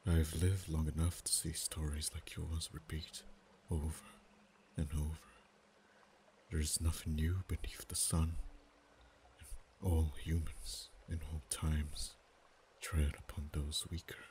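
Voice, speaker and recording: Ancient proud dragon played by a man: very low, flat, unhurried, soft whispers, faint hiss behind. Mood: musing. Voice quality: very deep, soft-spoken. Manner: slow, measured, drops to whispers. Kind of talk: ASMR roleplay voice acting.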